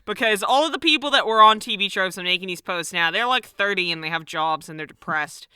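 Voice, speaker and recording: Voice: medium pitch, brisk, sharp tone, smooth, no echo, clean studio sound. A person of about thirty co-hosting a podcast with casual, playful and sardonic comedic banter. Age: 30s. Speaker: podcast co-host.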